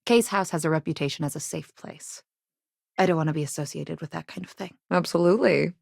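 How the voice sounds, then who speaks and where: Game role: earnest, plain-spoken woman innkeeper in a rough sci-fi underground. Lowish voice, creaky edges, dry studio sound. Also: a little fast, no echo, full character voice. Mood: calm, earnest. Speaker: video game character voice. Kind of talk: game dialogue lines, conversational.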